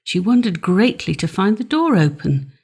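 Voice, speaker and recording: A woman narrating a fairy tale audiobook in a measured, expressive storytelling manner. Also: mid-range voice, breathy edge.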